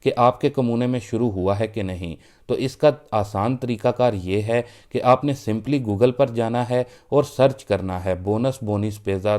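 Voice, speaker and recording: A man's voice: on the low side, darkish tone. A man presenting a news roundup for his online channel, reading the updates steadily and addressing viewers as friends. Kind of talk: read news update in Urdu.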